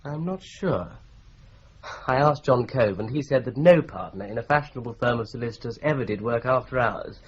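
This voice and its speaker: An actor plays a male solicitor with a wry, lightly ironic way of talking. His voice sits at a middle pitch. The sound is dull, like an old broadcast. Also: expressive, moderate pace, close to an everyday voice. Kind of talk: radio drama acting.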